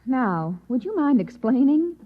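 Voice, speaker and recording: An actress playing a skeptical, weary housewife in an old-time radio drama, dry and exasperated. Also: female voice, high-pitched, sing-song, a little slow, exaggerated voice. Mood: dry disbelief. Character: skeptical, weary housewife doubting a wild tale. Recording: dull old radio recording.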